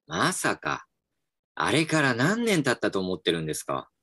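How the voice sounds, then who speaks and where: A man's voice: mid-range voice, wildly swooping pitch, moderate pace, pushed and bright, husky, dry recording, clean studio sound, heavily acted. An actor playing a reflective, slightly dejected male employee in a voice drama, polite and musing. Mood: wry, polite. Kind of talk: voice drama acting with inner narration. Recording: music behind the voice.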